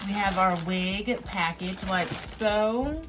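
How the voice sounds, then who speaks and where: A woman's voice: mid-range voice, takes it slowly, very noisy. A woman hosting a beauty vlog, talking casually and directly to viewers in an informal, chatty review style. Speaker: beauty vlogger and host.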